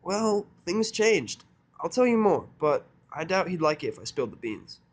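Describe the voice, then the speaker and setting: A man's voice: high-pitched, big pitch swings, normal speed, pitched above the performer's own voice. A man voicing a brash, boastful young female flying pony in a fan fiction reading. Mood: sheepish. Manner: boastful, eager.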